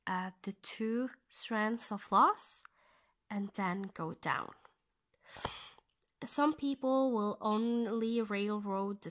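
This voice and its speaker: Norwegian woman, unhurried stitch-along host, gentle near-whisper, thinks aloud between pauses. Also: medium pitch. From Norway. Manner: soft-spoken, often half-whispered, thinking aloud with pauses. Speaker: cross-stitch vlogger. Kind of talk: casual stitch-along tutorial.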